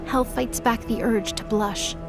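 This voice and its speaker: Female narrator for dramatic myth fiction: higher-pitched voice, scripted storytelling delivery over a clear music bed. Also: fairly even intonation, a little slow.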